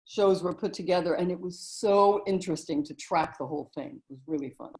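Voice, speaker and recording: Low-voiced woman, reminiscing guest. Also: a little fast. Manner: warm, storytelling reminiscence with frequent "you know". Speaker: guest, author and narrator. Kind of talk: relaxed interview conversation.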